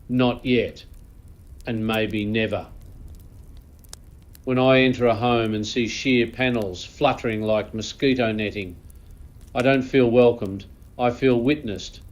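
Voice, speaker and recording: Casting: male actor as aging, haughty lord, mid-pitched and unhurried, theatrical and world-weary, for a comic monologue. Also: a little mellow, highs cut off, natural voice. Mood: mournful, grand. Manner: pompous, florid, theatrical, disdainful. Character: pompous, world-weary old aristocrat lamenting lost elegance. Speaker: host.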